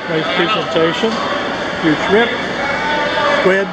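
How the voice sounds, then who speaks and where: An older man in his sixties casually narrating a walk through a fish market, talking in a relaxed, halting way with many fillers, filmed on a handheld camera. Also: sits high. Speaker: sailing vlogger. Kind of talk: casual walking vlog commentary. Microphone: handheld camera at arm's length. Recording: loud market noise behind. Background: loud market and street noise.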